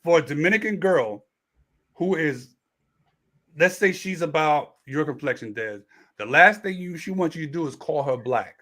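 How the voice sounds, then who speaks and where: Man, host, casual panel talk, somewhat high voice, long rambling explanations. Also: animated, moderate pace, fairly bright tone. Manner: talkative, restarts, explains at length.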